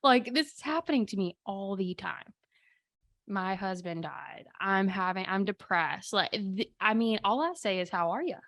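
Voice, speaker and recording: A woman with a slightly raspy voice hosting a casual solo podcast from her home office, telling chatty stories with quick asides. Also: mid-range voice, animated, fairly bright tone, no echo, studio quality. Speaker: podcast host and spiritual coach.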